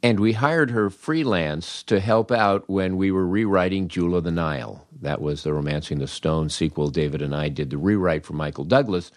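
An older American man with a low-pitched voice tells anecdotes as a podcast host. His delivery is conversational and wry, with an animated storyteller's touch. The sound is dry, with very little room in it. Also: normal speed, hardly any pauses, no room sound. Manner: wry, animated, acts out dialogue in his stories.

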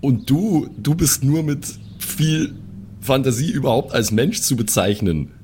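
A man's voice: medium pitch, expressive, unhurried, darkish tone, a little hiss or hum, lightly acted. A man voicing a rude, scornful gas-station clerk who sneers and swears in an improvised audio roleplay.

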